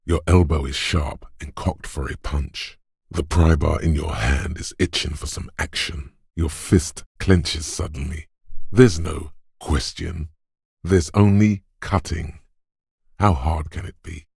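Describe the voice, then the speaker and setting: A man playing a gruff, macho inner voice of brute muscle in a video game, goading and narrating in a deliberate, commanding, gravelly way. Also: a little mellow, no echo, studio quality, heavily acted. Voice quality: gravelly, husky bass.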